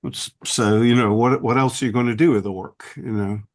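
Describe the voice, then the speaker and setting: A man speaking as an author on a roundtable panel, halting and thoughtful with many fillers and restarts. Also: medium pitch, fairly even intonation. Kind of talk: academic roundtable discussion.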